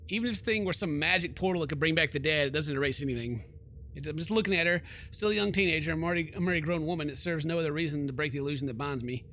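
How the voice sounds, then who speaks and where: A man's voice: on the high side, very fast, sharp tone, slight background noise. A man hosting a let's play, reading game text aloud and commenting with jokey, theatrical reactions. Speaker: gaming video host.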